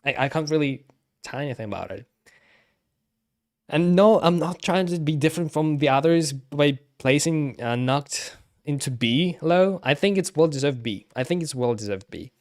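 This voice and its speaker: A man giving casual live-stream commentary, halting and repeating himself as he second-guesses his opinions. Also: on the high side, lively intonation.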